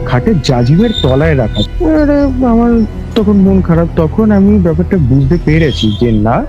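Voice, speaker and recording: A man recounts his experience in a fairly high voice that swings widely in pitch. He speaks conversationally and haltingly, circling back over phrases. Music plays clearly behind him. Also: dark tone, dull audio. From West Bengal, India. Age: 20s. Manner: halting, repeats phrases, acts out calls. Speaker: guest recounting a personal ghost experience. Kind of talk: conversational spoken storytelling. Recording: music bed with cricket and insect sounds.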